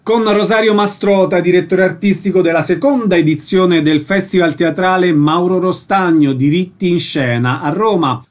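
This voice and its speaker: Higher-voiced male radio host. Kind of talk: scripted radio presenting and interviewing.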